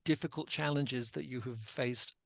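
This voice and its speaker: A man's voice: mid-range voice, fairly even intonation, normal speed, creaky, no echo. Guy hosting a zoom q&a, dry close sound, polite, lays out questions step by step. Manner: courteous, sets up multi-part questions. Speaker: host, moderator. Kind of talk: moderating a question period. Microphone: video call.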